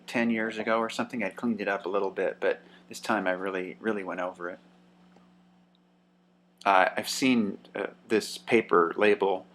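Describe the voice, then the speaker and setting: A man casually narrating a show-and-tell about a vintage radio he restored, relaxed and pausing often with "uh" and "um". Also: fairly low pitch. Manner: relaxed, with frequent "uh" and "um".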